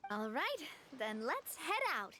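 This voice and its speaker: A woman's voice: fairly high pitch, very animated, slow pace, bright, forceful tone, a little hiss or hum, exaggerated voice. An actress playing a confident young heiress who leads her late father's organization, talking frankly and easily in a game cutscene. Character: confident young woman, heiress leading a family group. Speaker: leader of a family organization. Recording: game audio with faint music.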